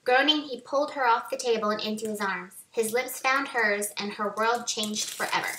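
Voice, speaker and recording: A woman's voice: a little high, normal speed, heavy background noise. A middle-aged woman reading romance fiction aloud, her voice altered by helium, on a webcam in a home office. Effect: voice raised by helium. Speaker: author reading own novel. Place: small home office, indoors. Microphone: webcam at arm's length. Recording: roomy echo.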